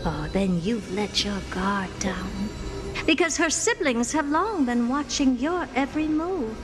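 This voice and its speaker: A woman's voice: on the high side, animated, unhurried. A woman voicing a haughty, smug genius scholar in a video game, speaking in a pompous, mocking, theatrical manner. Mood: mocking, gloating. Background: music.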